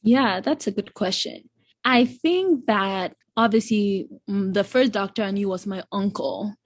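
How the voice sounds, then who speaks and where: A woman in her twenties with a Ghanaian accent, talking as a guest in a relaxed, reflective way with frequent ums. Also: mid-range voice, a little slow, no echo, clean studio sound. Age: 20s. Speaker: guest, incoming medical student. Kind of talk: casual interview chat.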